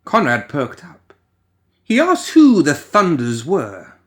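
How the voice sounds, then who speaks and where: A man reading a story as a dramatic narrator, musing theatrically with deliberate pauses and rhetorical asides. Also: fairly high pitch, huge pitch swings. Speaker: audio fiction reader.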